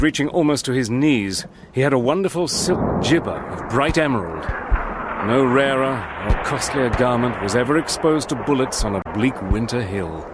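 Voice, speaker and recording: An actor playing a steady, soldierly adventurer, narrating his wartime story in a measured, grave manner. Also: mid-range voice, heavy background noise, natural voice. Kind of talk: scripted dramatic narration.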